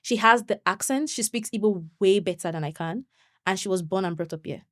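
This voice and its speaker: A young woman, a guest on an interview show, chatting in an animated way and laughing often. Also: medium pitch, dry recording, studio quality. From Nigeria. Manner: animated, laughs often, quick bursts when excited. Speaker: podcast guest. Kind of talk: conversational interview.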